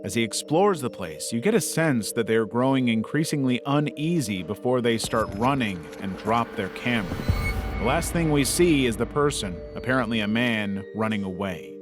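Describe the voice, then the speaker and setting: Measured male narrator over music. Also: mid-range voice, animated. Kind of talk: scripted documentary-style narration. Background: background music.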